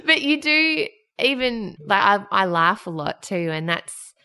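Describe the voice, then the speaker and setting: A woman in her thirties hosting a podcast, with a young-sounding voice, who laughs often and chats warmly with her guest. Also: very animated, takes it slowly. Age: 30s. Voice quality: high-pitched, young-sounding voice. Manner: warm, laughs often, affirming. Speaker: podcast host. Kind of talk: casual interview chat.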